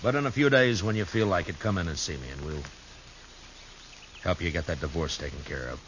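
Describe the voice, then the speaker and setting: A very low-voiced American frontier marshal, stern and steady, speaking with laconic authority. It is old radio western drama, on a dull recording with light hiss. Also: male voice, a little fast. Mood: quietly kind. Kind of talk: 1950s radio western acting.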